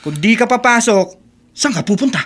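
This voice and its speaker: A man's voice: very high-pitched, expressive, moderate pace, a bit bright, husky, heavily acted. An actor playing a responsible teenage schoolboy who nags his friend, in a Filipino radio drama with a Filipino accent. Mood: nagging.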